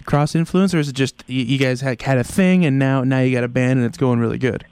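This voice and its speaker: A man hosting a radio show, asking hesitant, halting questions. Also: medium pitch, steady tone, darkish tone, clean tone, dry recording. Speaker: radio host. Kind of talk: radio interview.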